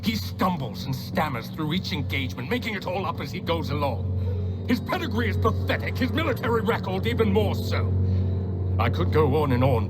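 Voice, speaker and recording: Casting: actor as haughty, contemptuous male conspirator, ornate delivery with very lively intonation, game cutscene, music behind. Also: a little high, close to an everyday voice. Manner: pompous, ornate, disdainful. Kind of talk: dramatic game cutscene acting.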